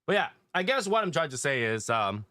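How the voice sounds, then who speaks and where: A man's voice: on the high side, sing-song, a little fast, pushed and bright. A man with a Taiwanese accent hosting a casual group chat, often repeating phrases for emphasis. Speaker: host and leader of an online cigar community.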